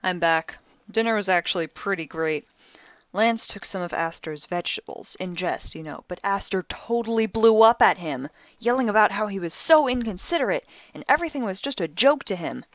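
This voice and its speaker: A woman's voice: medium pitch, expressive, normal speed, no echo, muffled recording, close to an everyday voice. A woman playing a wry, impulsive young amateur detective, recording a spoken log in an audio drama with sardonic, theatrically dry conversational delivery. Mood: amused, animated.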